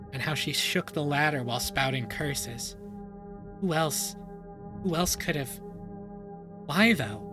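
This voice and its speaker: A man streaming a dramatic first-person reading of a story, pausing and repeating phrases for suspense. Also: mid-range voice. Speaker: streamer reading a visual novel aloud. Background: music.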